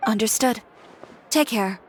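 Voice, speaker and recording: An actress playing a proud, determined fashion designer in a video game, speaking in a cool, blunt, self-assured way. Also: female voice, fairly high pitch, a little slow, background noise, close to an everyday voice. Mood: curt.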